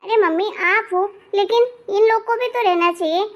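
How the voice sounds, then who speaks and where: A woman voicing a hot-tempered, demanding young married daughter in an animated family drama, with a sharp-tongued way of talking. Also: extremely high pitch, moderate pace, highs cut off. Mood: angry, indignant.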